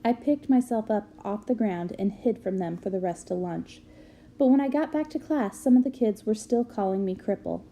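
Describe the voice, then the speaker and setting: A woman reading a book aloud as an expressive narrator. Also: mid-range voice, normal speed, slight background noise. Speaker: reader of a children's book aloud.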